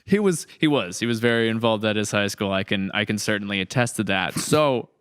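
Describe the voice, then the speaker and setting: A man's voice: fairly low pitch, expressive, brisk, fairly bright tone, smooth. A man hosting a casual podcast interview in an enthusiastic, joking manner. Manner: enthusiastic, jokey. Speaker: podcast host.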